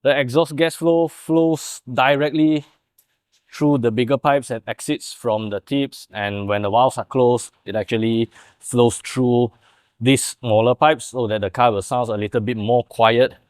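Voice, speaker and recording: A man's voice: medium pitch, fairly even intonation, measured pace, a little mellow, smooth, dry recording. A young man explaining and demonstrating in the style of a car product showcase. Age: young adult. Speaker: presenter of a car workshop video.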